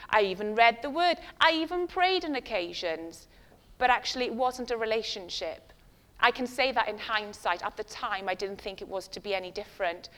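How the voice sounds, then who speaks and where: A woman's voice: on the high side, normal speed, bright, forceful tone. Woman, Welsh accent, first language Welsh, middle-aged, conversational sermon, self-deprecating, many restarts. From West Wales. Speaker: guest speaker.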